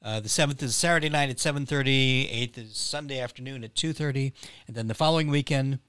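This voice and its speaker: A man's voice: medium pitch, fast talker, a bit bright, smooth, no echo. A man chatting as a playwright guest on a podcast, explaining things with some hesitation. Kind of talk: casual podcast conversation.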